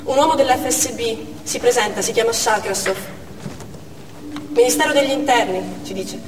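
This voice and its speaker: Anxious female medic played by a stage actress, fairly high voice, dramatic monologue, recorded far off with noise. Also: normal speed, lightly acted. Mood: uneasy, hushed. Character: anxious woman ambulance medic recounting an emergency. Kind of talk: theatrical monologue, first-person narration on stage. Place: theatre stage, distant microphone. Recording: echoey theatre hall from far off, noisy.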